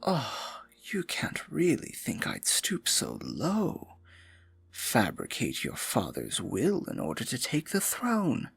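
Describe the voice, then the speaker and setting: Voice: medium pitch, huge pitch swings, slow pace, creaky, breathy edge, full character voice. An actor voicing a scheming evil royal advisor with magic, speaking in a silky, mocking, condescending way for an ASMR fantasy roleplay. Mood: smug feigned innocence. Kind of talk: ASMR fantasy roleplay, intimate theatrical monologue.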